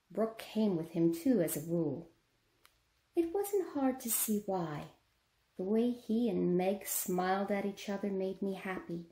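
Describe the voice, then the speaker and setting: Woman as a mellow, wistful narrating mother. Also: lowish voice, a little hiss or hum. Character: gentle, wistful mother recalling her daughters. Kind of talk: scripted radio drama narration. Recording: echoey room.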